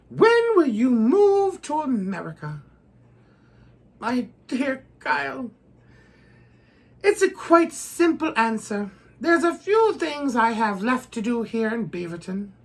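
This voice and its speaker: Woman playing a high-pitched, drawling posh English duchess. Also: heavily acted. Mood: grand, confident. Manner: grand, theatrical, drawn-out delivery with affectionate endearments. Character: posh, bawdy, self-adoring English duchess, middle-aged or older woman. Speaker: host. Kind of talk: comic character monologue answering fan letters.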